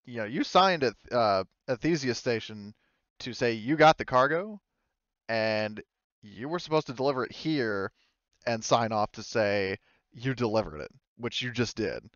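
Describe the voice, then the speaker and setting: A man narrating a tabletop roleplaying game as game master, in a hesitant, halting way. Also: medium pitch, expressive, fairly bright tone, no room sound, highs cut off.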